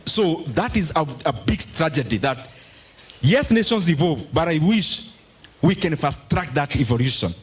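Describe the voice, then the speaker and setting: Middle-aged Kenyan man, husky and fairly high-voiced, giving an emphatic public talk with Swahili asides. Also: expressive, moderate pace. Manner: mixes Swahili phrases into English, emphatic. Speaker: politician addressing a forum. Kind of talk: public speech and audience Q&A.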